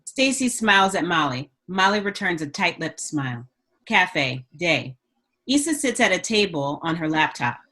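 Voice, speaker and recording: Casting: female narrator, somewhat low register, scripted stage-direction reading for a drama table read. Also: very animated, normal speed, bright, forceful tone.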